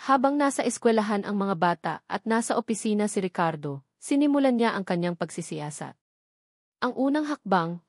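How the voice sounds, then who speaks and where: A woman's voice: mid-range voice, no echo, clean studio sound. A woman with a Filipino accent giving a scripted dramatic narration of a romance story.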